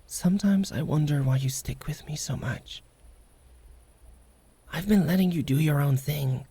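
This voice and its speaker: Breathy, guarded tsundere wolfgirl, acted by a woman. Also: sits low, full character voice. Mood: vulnerable, grateful. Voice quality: breathy, often dropping into whispers. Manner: halting, guarded, softly emotional. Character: tsundere wolfgirl, prickly, vulnerable, secretly tender young woman. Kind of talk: intimate ASMR roleplay acting.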